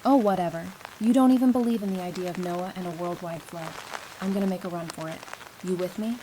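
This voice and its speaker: A woman playing a plucky, teasing, warm-hearted young survivor in an audio drama, with a casual, wry way of talking. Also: on the low side, quick pace, lightly acted. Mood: playful. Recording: clear rain noise behind. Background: rain ambience.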